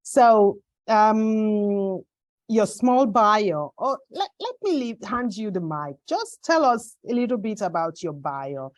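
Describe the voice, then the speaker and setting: A woman's voice: mid-range voice, lively intonation, takes it slowly, dry recording. A woman hosting an interview, speaking hesitantly with many fillers and self-corrections. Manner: hesitant, many fillers and restarts, warm laughs. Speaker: host.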